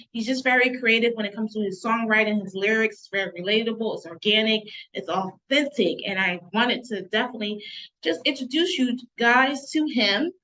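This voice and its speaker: Bright, effusive woman host. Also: fairly high pitch, lo-fi sound, slight background noise. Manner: effusive, enthusiastic praise. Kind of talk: interview.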